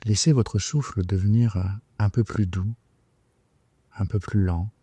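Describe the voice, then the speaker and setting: A man leading a guided sleep meditation in a soothing, unhurried, hesitant way with long pauses. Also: on the low side, fairly even intonation, breathy edge, dry recording. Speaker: yoga nidra guide. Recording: soft guitar music behind the voice. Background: gentle plucked guitar music.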